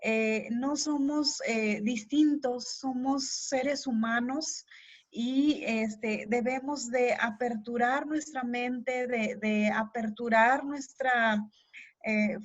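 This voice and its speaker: High-voiced Mexican woman, native Tének speaker, dull audio. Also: no room sound. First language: Tének (Huastec). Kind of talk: earnest interview answers in a live online talk.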